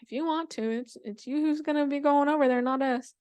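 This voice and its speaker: A woman's voice: fairly high pitch, fairly even intonation, a little fast, natural voice. A woman voicing skeptical, bickering animals in a folktale, exclaiming and argumentative. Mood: incredulous, dismissive.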